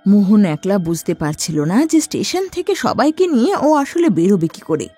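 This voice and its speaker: A woman narrating a scripted cartoon horror story in an expressive storytelling manner. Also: mid-range voice, normal speed, breathy edge, soft background music.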